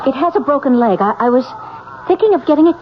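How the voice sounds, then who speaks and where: Jittery secretive young wife, old radio drama, stammers and hesitates, dull sound w a bit of hiss. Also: female voice, high-pitched, animated, fast talker. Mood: anxious, pleading. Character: nervous, unsettled, secretive young married woman. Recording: muffled old radio recording, faint noise.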